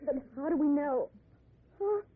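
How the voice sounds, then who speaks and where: A woman's voice: sits high, expressive, measured pace, phone-quality sound. An actress playing a frightened, suspicious woman on edge in an old-time radio drama, with anxious, hesitant delivery. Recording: noisy, thin old radio recording.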